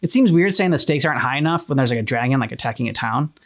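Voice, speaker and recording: Casual, halting man with mid-range voice. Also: medium pitch, animated. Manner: halting, searching for words. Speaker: co-host of game reaction channel. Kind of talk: casual discussion, channel chat.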